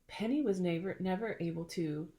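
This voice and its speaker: A woman's voice: lowish voice, moderate pace, mellow. A middle-aged woman hosting a casual chat, telling stories in a chatty, playful way and laughing often. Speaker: podcast host.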